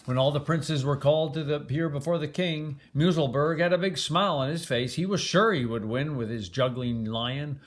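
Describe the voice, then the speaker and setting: A man's voice: fairly high pitch, moderate pace, hardly any pauses. An older man reading a children's storybook aloud as a grandfather would, with expression.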